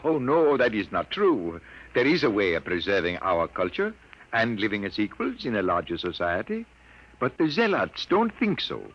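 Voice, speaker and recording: A man's voice: on the low side, very animated, moderate pace. A man acting a grave, troubled scientist of sixty-two in a 1950s radio drama. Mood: earnest. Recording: muffled old radio broadcast.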